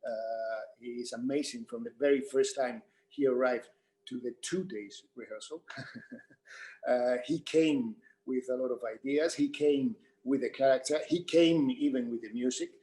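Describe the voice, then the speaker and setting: A middle-aged man with a Spanish accent, a theatre director answering in an unscripted Q&A, speaking English as a second language in halting, repeated phrases. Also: mid-range voice, dark tone, low-quality recording. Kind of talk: unscripted panel Q&A talk.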